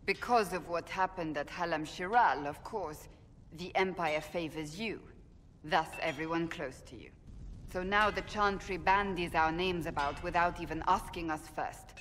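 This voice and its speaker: Woman playing a low-voiced stern warrior woman. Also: a bit bright, a little hiss or hum, close to an everyday voice. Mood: guarded, dry. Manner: formal, measured, deliberate phrasing. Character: stern, devout, principled warrior woman, earnest and blunt. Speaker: voice actress in a fantasy game. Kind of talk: dramatic video game dialogue.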